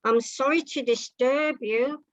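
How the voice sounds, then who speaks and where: A woman's voice: high voice, a little slow, dull audio. A woman voicing a polite, evasive masked cowboy in a story read aloud. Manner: courteous, addresses people as ma'am and sir.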